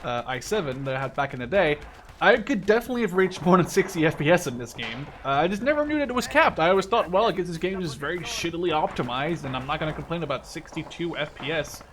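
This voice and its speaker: Higher-pitched young man, rambling game commentary. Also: expressive, fast talker, fairly bright tone, a little hiss or hum. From Netherlands. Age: 20s. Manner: rambling, self-correcting, casually sweary. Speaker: gaming video host. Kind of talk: casual gameplay commentary.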